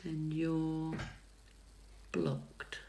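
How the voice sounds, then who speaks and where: A middle-aged woman, a psychic tarot reader chatting on a livestream and answering comments in a soft, calming voice, hesitant with many fillers and restarts. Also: low-pitched, extremely slow, slight background noise. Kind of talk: casual livestream chat answering viewer comments. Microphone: phone livestream mic.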